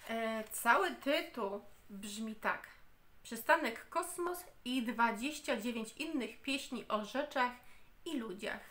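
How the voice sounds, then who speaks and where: Woman in her 30s, book reviewer, slow deliberate delivery, bright tone, home camera recording. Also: a little high, lively intonation. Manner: slow and deliberate, with frequent hesitations and restarts. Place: home room, indoors.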